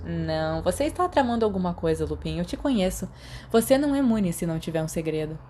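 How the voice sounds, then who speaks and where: A woman's voice: fairly low pitch, sing-song, fast, warm tone, slight background noise. A woman voicing a nosy, mischievous teenage boy in a story, with a teasing, suspicious manner. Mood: sly, suspicious.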